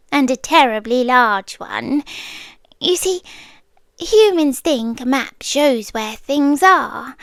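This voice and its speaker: A high, soft British female voice, a woman acting as an otherworldly keeper of maps in an ASMR roleplay. She is whimsical and knowing, speaking extremely slowly and often sinking into a breathy whisper. The sound is close and dry. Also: dry recording, heavily acted. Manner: slow, deliberate, musing, with dramatic pauses.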